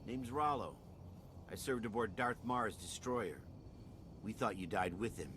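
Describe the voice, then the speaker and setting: A man's voice: fairly low pitch, unhurried, close to an everyday voice. A man voicing a weary, somber former soldier in a game cutscene. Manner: somber, plain-spoken. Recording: noisy game cutscene audio.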